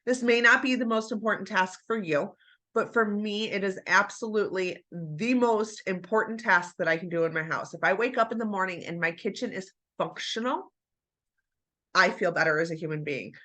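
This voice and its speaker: A woman's voice: mid-range voice, moderate pace, pushed and bright. A woman chatting casually to her viewers about home routines, with a stuffy nose after the flu. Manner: chatty, encouraging, addresses viewers as you guys. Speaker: homemaking vlogger. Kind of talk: casual chat to camera.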